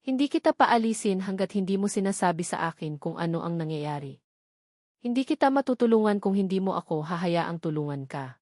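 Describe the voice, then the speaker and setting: Serious, protective romantic lead man, voiced by a female storyteller, calm and firm, dry recording. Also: fairly low pitch, brisk, dry recording, lightly acted. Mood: firm, concerned. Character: steady, caring, serious man in love story. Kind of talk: dramatic storytelling dialogue.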